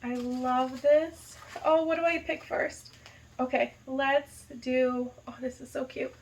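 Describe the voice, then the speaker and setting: A young woman from the United States opens a gift box in a relaxed vlog. Her voice is a little high and she talks slowly, lingering on her delighted reactions. She gushes over every item, tossing in plenty of casual "like". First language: not Arabic. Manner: gushing, enthusiastic, lots of "like" and "so cute". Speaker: vlogger, high school teacher.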